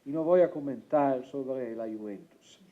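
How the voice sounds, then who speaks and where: A man from Italy speaking Spanish as a second language with an Italian accent, presenting his think tank's work and often halting to search for words. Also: mid-range voice, phone-quality sound. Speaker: think tank representative. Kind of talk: presentation talk.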